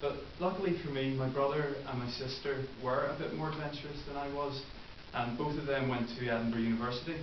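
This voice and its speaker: A man's voice: medium pitch, fairly even intonation, quick pace, few pauses. A man in his twenties with a Northern Irish accent, giving an earnest prepared speech with frequent ums and uhs. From Northern Ireland. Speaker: club member giving a first speech. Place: meeting room. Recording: roomy, distant, muffled with hiss.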